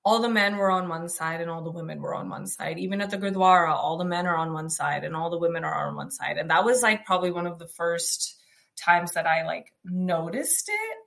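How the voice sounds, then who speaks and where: A young American woman with a loud, outspoken voice. She tells her personal story casually, in a chatty flow full of fillers and restarts. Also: fairly low pitch, fairly quick, vocal fry. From United States.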